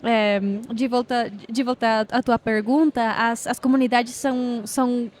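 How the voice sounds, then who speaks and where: A woman with a Spanish-speaking Latin American accent talks as a podcast guest, her voice sitting high. She hesitates often, filling gaps as she searches for words in a second language. Faint noise hums behind her. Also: level tone, a little slow. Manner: hesitant, many fillers, mixes in Spanish grammar. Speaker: guest, developer relations lead. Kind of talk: casual interview chat. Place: event podcast set.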